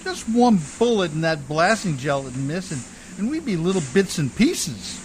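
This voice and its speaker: A man playing a rough, exasperated, hard-drinking riverboat skipper in an old-time radio drama, with folksy uneducated speech. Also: high voice, big pitch swings, normal speed, a little hiss or hum. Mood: angry, stubborn. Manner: uneducated folksy speech, full of ain't and miss.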